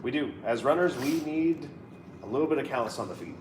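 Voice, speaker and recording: A man's voice: mid-range voice, animated, a little fast, reverberant, far from the mic, background noise. A man in his thirties chatting casually and playfully on a live stream, reading viewer comments aloud. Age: 30s. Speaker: co-host reading live chat.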